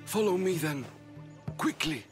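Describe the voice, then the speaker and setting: Hesitant, courteous old priest. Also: male voice, high-pitched, animated, unhurried, music in the background. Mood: uneasy. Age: older. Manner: hesitant, formal, cautious. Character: nervous, courteous elderly male temple priest. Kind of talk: video game cutscene acting.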